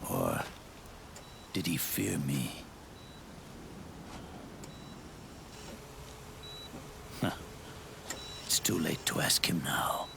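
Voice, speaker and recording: A very deep, slow voice of an old soldier, thick with gravel. He speaks gravely and with regret, leaving weighty pauses, as in a cinematic game monologue. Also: big pitch swings, noisy background, heavily acted. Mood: weary, regretful. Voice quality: gravelly, breathy rasp. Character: old, world-weary soldier, solemn, reflective and regretful. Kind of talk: dramatic video game monologue. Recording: music under the voice. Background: cinematic music.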